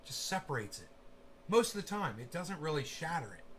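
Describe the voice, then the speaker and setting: A man's voice: a little high, very animated, fairly quick, a bit bright, a little hiss or hum. A man hosting a casual livestream, rambling and swearing freely while making sound effects with his mouth. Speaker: channel host.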